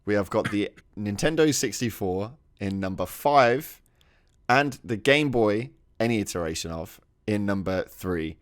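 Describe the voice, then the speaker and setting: A man's voice: fairly low pitch, animated, a little slow, smooth, no room sound, studio quality. A man co-hosting a casual gaming podcast, bantering and laughing easily. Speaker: podcast co-host.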